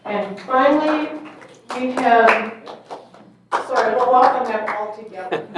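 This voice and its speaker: A woman moderating a public forum, reading out speaker introductions with pauses and fillers. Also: high-pitched, background noise. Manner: reads bios, with pauses and fillers. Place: large echoey meeting hall. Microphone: distant microphone. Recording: echoey hall, mic far off.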